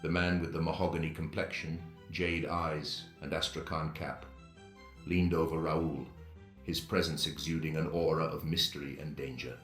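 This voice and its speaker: A man's voice: a bass voice, level tone, moderate pace, a bit bright. A man narrating a scripted suspense story in a dramatic storytelling style. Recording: echoey, roomy sound with music.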